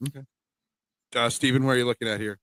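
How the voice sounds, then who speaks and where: A man's voice: mid-range voice, steady tone, fast, a bit bright, clear, resonant tone, no echo. A man hosting a casual sports betting preview show, chatting with his co-hosts.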